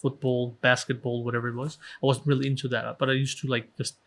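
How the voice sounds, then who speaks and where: A man in his thirties from Denmark, talking as a podcast guest about his childhood, relaxed and self-deprecating, with many ums and restarts. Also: mid-range voice, fast talker, creaky. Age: 30s. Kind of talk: casual interview talk.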